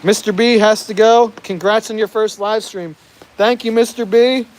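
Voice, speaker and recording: A man's voice: high-pitched, fairly even intonation, normal speed, poor audio. An American man chatting casually on a live stream outdoors, reading out viewer comments, laughing often and tripping over his words. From United States. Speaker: vlogger reading live chat. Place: outdoors in the rain. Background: rain falling.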